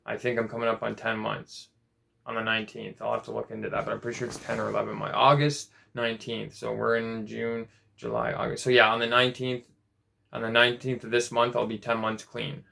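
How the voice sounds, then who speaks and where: Young man, late twenties, gravelly, mid-pitched, rambling vlog talk, halting restarts. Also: level tone, sharp tone. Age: 20s. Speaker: vlogger. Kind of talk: casual personal vlog.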